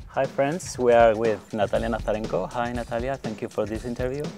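A man's voice: medium pitch, steady tone, brisk, a little mellow. A middle-aged man interviewing a guest outdoors, asking brief, polite questions in English. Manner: brief, polite prompts with short acknowledgments. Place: outdoor terrace, hillside view. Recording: music clearly heard under the voice.

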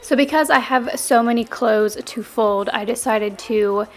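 A young woman, a stay-at-home mom, talking in a relaxed, friendly way with plenty of "um"s as she narrates cleaning her home. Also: a little high, measured pace, music in the background. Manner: friendly, relaxed, chatty with frequent "um". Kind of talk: chatty cleaning vlog voice-over. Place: home, kitchen and living room.

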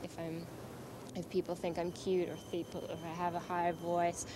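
Flat-toned young woman on hissy VHS tape. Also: lowish voice, moderate pace. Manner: matter-of-fact, listing things steadily. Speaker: lead singer of a band. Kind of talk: documentary interview. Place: outdoors on a city street at night.

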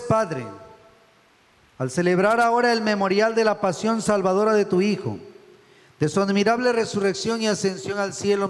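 Middle-aged priest praying in a reverberant church. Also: male voice, high voice. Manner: reverent, measured phrasing. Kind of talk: solemn liturgical prayer recitation. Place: church altar, indoors. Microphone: stand microphone at the altar.

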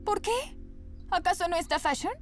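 A sweet, naive teenage girl in a cartoon. Her voice is extremely high, earnest and expressive. Music plays clearly behind her. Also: normal speed. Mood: confused, sheepish. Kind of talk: animated series dub in Spanish.

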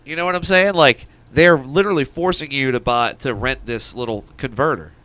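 A man's voice: mid-range voice, a little fast, bright, forceful tone. A man in casual podcast chat as a co-host, colloquial and questioning, speaking into a close mic in a small studio.

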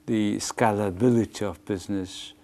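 A middle-aged man with a Mongolian accent hosting an interview in a TV studio. He speaks haltingly, searching for words and filling gaps with "uh". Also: fairly low pitch, steady tone, warm tone. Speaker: TV interview host.

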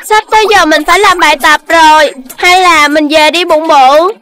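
A woman voicing an excitable young animal child in a children's cartoon, with an exclamatory, childlike manner. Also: very high voice, normal speed, soft background music. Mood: matter-of-fact. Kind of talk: Vietnamese children's cartoon dubbing.

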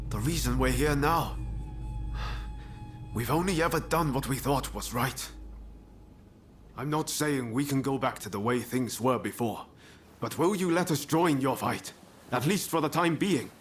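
Loyal samurai fighter for a game cutscene, played by a man, sincere, mid-pitched, soft score behind. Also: a little slow, soft background music, lightly acted. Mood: earnest appeal. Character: earnest, loyal samurai warrior seeking to rejoin comrades. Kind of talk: video game cutscene voice acting.

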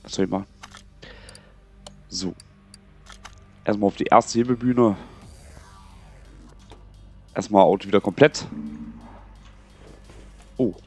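Young male gaming host with a cold, slightly low voice, loose rambling commentary over faint game music. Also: slow, long pauses. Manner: chatty, rambling, muttering to himself while playing. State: has a cold. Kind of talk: casual let's play commentary.

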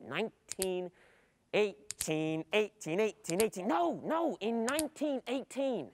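A woman's voice: mid-range voice, slight background noise. A young woman acting an anxious, overwhelmed, easily distracted student in an agitated, rambling theatrical monologue. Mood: frustrated, halting. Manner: agitated, rambling inner monologue, repeating words. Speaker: actor playing a student. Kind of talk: theatrical radio-play monologue. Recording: long hall reverb of a stage.

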